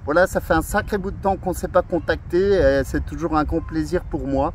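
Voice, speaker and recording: High-voiced man on portable radio outdoors. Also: steady tone, brisk, slight background noise. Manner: friendly, spells words in radio alphabet. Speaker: portable CB radio operator and YouTuber. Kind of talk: casual radio contact chat. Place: outdoors among pine trees on an island.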